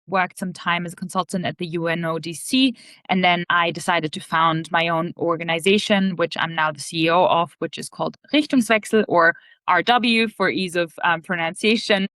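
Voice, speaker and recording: A woman's voice: fairly low pitch, steady tone, normal speed, fairly bright tone, no room sound. A woman from Austria answering interview questions in an earnest, reflective, conversational way. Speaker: podcast guest, leadership organization CEO.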